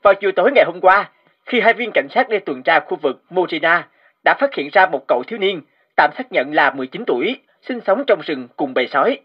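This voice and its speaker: An actor playing a formal, matter-of-fact television news presenter in a cartoon, reading a scripted report in a husky voice. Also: medium pitch, expressive, fast talker, lo-fi sound. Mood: formal, neutral.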